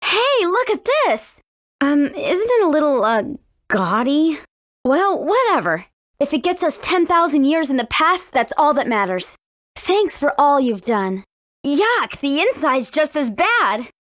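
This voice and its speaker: A woman's voice: sits high, sing-song, unhurried, fairly bright tone, no room sound, studio quality, heavily acted. A woman voicing a spirited, cautious fifteen-year-old warrior princess in a video game, earnest and youthful in her delivery. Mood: teasing. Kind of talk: English video game voice acting.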